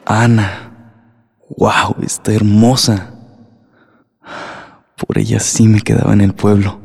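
A man's voice: lowish voice, level tone, slow, creaky, darkish tone. A man playing a smitten young villager with a Mexican accent in a radio soap opera. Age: young adult.

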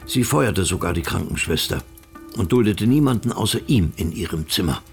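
An actor narrating a sombre crime story in a scripted literary style for a radio play. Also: male voice, sits low, lively intonation, normal speed, vocal fry, faint music behind.

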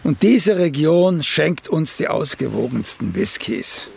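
Gravelly, grumpy old playwright. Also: male voice, on the high side, very animated, slow pace, a little mellow, full character voice. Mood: opinionated, lecturing. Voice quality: gravelly, creaky voice. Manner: fussy, opinionated, lecturing. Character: grumpy, demanding, vain old male playwright. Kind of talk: German radio drama acting.

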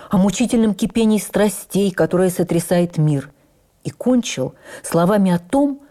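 A woman playing an actress who reverently recalls her life, in an expressive, measured dramatic narration for radio. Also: lowish voice. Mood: admiring, reflective. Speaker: actress reading memoirs on radio. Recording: radio broadcast with faint music.

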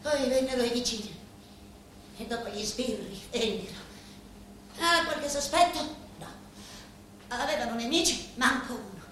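A woman acting a grieving, vengeful daughter in a theatrical monologue, with a Sicilian accent and halting, incantatory delivery full of repeated words. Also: on the high side, fairly bright tone. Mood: bitter, defiant. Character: grieving, vengeful daughter of murdered parents, confiding in a boss. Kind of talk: theatrical dramatic monologue in dialect-laced Italian. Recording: echoey, distant, faintly noisy stage recording.

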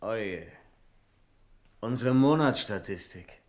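An actor playing a mild, composed senior office employee in a German radio crime drama. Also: male voice, fairly low pitch, expressive, takes it slowly, darkish tone. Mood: mild, resigned.

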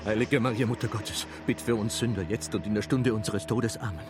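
Male actor as fervent, pious visionary: bewildered, prayerful, lowish voice, radio play with music. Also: fairly even intonation, a little fast, natural voice. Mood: devout. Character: pious, bewildered Tyrolean man praying in feverish visions. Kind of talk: German radio play acting.

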